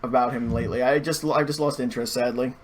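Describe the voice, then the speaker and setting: A man's voice: mid-range voice, fairly even intonation, fast talker. A man chatting casually as a podcast guest, stammering a little and often restarting his phrases. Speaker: podcast guest, online video creator and fan dubber.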